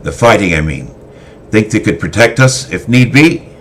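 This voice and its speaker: A man's voice: lowish voice, animated, normal speed, a little hiss or hum, voice pushed up, lightly acted. A man voicing a cold, callous, battle-hardened male swordmaster with a commanding manner. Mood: stern, commanding. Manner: commanding, stern.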